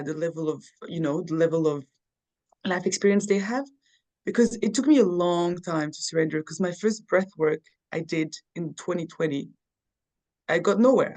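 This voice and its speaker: A woman's voice: on the low side, mellow. A woman from Belgium, a podcast guest, talking earnestly and telling personal stories in casual conversation with frequent restarts.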